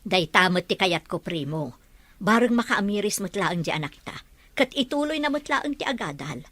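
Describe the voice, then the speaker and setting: A woman's voice: mid-range voice, animated, normal speed, fairly bright tone, no room sound. A woman playing a caring middle-aged aunt and mother in an Ilocano radio drama. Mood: concerned.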